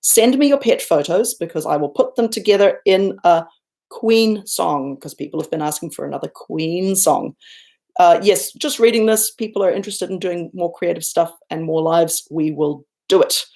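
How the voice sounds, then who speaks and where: A middle-aged woman with a New Zealand accent, hosting a casual livestream from home. She chats with viewers, reads out their comments and answers them, and jumps up high when she thanks people. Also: normal speed, warm tone. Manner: chatty and self-deprecating, bursts up high when thanking viewers. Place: home, looking out over a garden and lake.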